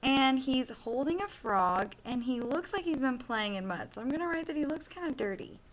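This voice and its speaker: Higher-voiced woman teaching young children. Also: expressive, moderate pace, fairly bright tone. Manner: explains step by step in simple words. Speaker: elementary school teacher. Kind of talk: children's read-aloud lesson.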